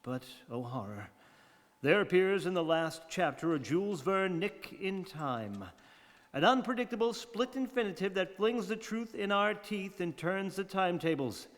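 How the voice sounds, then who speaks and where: A man's voice: high-pitched, animated, normal speed. A man playing a grandiloquent, wry 1930s radio host in theatrical monologue, eloquent and self-mocking. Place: community theatre radio play staged live. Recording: reverberant hall.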